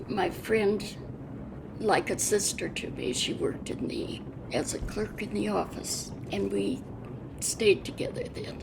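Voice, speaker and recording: An American woman in her eighties or older recalls her past off the cuff, in a slow, halting delivery. Her voice is gravelly and plain-spoken, and she pauses reflectively mid-sentence. The recording has clear background noise. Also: mid-range voice. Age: over 80. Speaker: interviewee, retired railroad telegrapher. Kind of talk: unscripted oral-history reminiscence.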